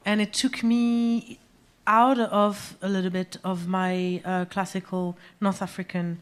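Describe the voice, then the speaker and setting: A woman, a musician guest talking about her music in a live on-stage interview, pausing often to search for words. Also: mid-range voice, little pitch movement. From Algeria, raised in France. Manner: hesitant, searching for words, many fillers. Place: small music venue stage.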